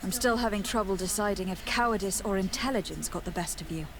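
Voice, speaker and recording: A woman's voice: medium pitch, quick pace, noisy background, lightly acted. An actress playing a commanding, skeptical noblewoman in a video game, questioning people in a formal manner. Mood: probing. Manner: formal, interrogating. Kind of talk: video game dialogue acting.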